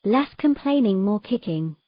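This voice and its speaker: A woman playing a patient, gently commanding mermaid in a romantic fantasy audio drama, giving measured, encouraging instructions. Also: medium pitch, expressive, muffled recording, close to an everyday voice. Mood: firm, patient.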